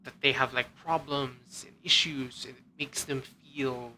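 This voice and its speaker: A man's voice: medium pitch, fairly even intonation, unhurried, pushed and bright, slight background noise, rough recording. A man giving casual, rambling game commentary as a streamer, with lots of "like" and some casual swearing.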